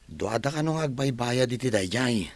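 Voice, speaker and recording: An actor with a Filipino accent playing a friendly, curious young buddy in a radio drama. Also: male voice, medium pitch, expressive, unhurried, dry recording. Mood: admiring.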